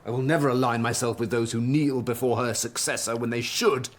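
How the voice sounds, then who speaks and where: An actor playing a proud Elizabethan royal secretary with formal, declamatory speech in a period radio drama. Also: male voice, medium pitch, fairly even intonation, brisk, natural voice. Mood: firm, indignant. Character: Sir Robert, commanding Elizabethan royal secretary, loyal and proud.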